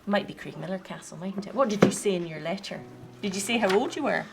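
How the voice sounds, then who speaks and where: A woman's voice: medium pitch, quick pace, vocal fry, heavy background noise. A woman teacher telling her story and chatting in a friendly way with children.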